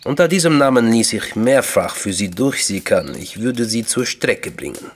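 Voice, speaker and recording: An actor playing a cool, commanding secret agent in a crime radio drama, curt and authoritative. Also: male voice, mid-range voice, expressive, moderate pace, slight background noise, lightly acted. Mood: cool, guarded.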